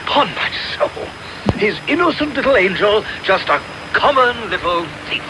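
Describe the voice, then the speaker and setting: Casting: irascible old English gentleman, high, emphatic voice, very noisy radio recording. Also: big pitch swings, normal speed. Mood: gloating. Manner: blustering, emphatic. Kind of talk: radio period drama acting.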